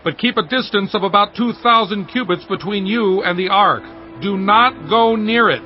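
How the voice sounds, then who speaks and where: A man's voice: high-pitched, moderate pace, soft background music, close to an everyday voice. An actor playing an authoritative officer giving orders to a camp in a dramatized audio Bible, projecting his words. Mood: commanding. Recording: slightly dull audio with background ambience.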